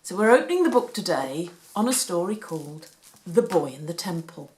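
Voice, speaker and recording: A woman reading a Bible story aloud for children, with a deliberate, animated storytelling delivery. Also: on the low side, poor audio.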